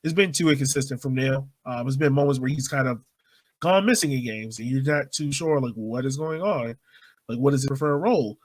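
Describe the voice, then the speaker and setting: A man's voice: mid-range voice, a little fast. A man hosting a sports podcast, talking casually and informally in a conversational way. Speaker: podcast host.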